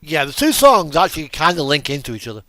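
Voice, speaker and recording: Lively man rambling over a driving game. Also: fairly high pitch, fast talker, dry recording, studio quality. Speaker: host of a truck simulator gaming video. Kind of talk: casual gameplay commentary.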